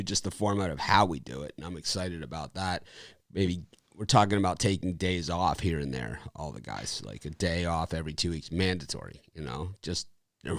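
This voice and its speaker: A man's voice: low voice, a bit bright, no echo, clean studio sound. A man hosting a live sports betting show, chatting casually and rambling a little, with restarts and short laughs. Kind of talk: casual live-stream chat.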